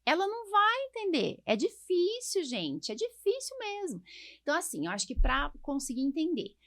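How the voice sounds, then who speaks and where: A Brazilian woman with a fairly high voice, recorded close and dry. She talks casually as a podcast host, explaining medical matters to listeners in an emphatic, chatty way and often asking questions she answers herself. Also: wildly swooping pitch, normal speed, a bit bright, no room sound. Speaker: podcast host, allergist doctor.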